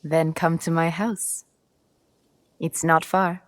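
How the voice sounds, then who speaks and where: A woman playing a poised, cunning, self-assured game character with a measured, unhurried way of talking. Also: low voice, level tone. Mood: sly, inviting. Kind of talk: video game cutscene acting.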